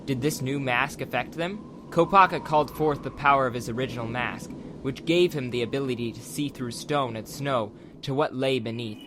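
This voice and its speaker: A man's voice: medium pitch, moderate pace, hardly any pauses, noisy background. A man reading scripted audiobook narration as the narrator of a dramatized story. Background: background music.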